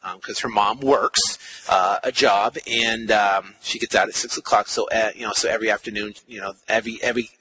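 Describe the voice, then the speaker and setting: A man's voice: fairly low pitch, fairly even intonation, a little fast, sharp tone, slight background noise, rough recording. A man in his forties talking casually as a podcast host from his car, rambling with many fillers and restarts. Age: 40s. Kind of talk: casual monologue.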